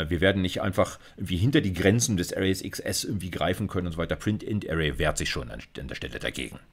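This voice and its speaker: Deep-voiced, lively male lecturer. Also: a little hiss or hum. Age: middle-aged. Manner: colloquial, explains step by step. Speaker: university computer science lecturer. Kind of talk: informal screencast lecture, live coding demonstration.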